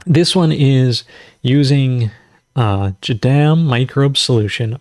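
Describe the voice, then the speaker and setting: A man's voice: mid-range voice, a little mellow, dry recording. A man hosting a casual live farming Q&A, reading recipes aloud and rambling, often stumbling over numbers and foreign words with self-deprecating asides. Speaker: host of a natural farming livestream.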